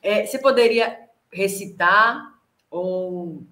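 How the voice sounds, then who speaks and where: A woman podcast host, chatting warmly and addressing her audience as she wraps up an interview. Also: medium pitch, slow pace. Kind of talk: casual interview chat. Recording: echoey room sound.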